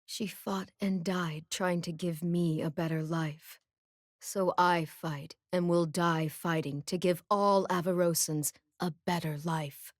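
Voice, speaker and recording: A solemn warrior queen from a game, calm n grave, slightly low voice, very dry sound. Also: dry recording. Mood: solemn resolve. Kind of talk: video game character voice lines.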